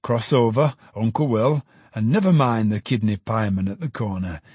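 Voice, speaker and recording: A man voicing an old male ticket porter who talks quickly and breathlessly, fussing and chattering. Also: lowish voice, a little mellow, breathy edge, no echo. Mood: bustling.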